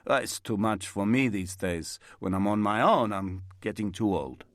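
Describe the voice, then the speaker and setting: A man's voice: fairly low pitch, normal speed, no room sound, clean studio sound, natural voice. A man voicing an older Italian window cleaner, tired and worn down, with an Italian accent. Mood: weary.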